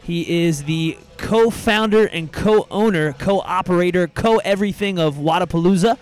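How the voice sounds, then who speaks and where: Upbeat male podcast host, a bit high. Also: unhurried, clear, resonant tone, soft background music. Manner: upbeat, hyping, interviewing. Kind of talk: casual podcast hosting.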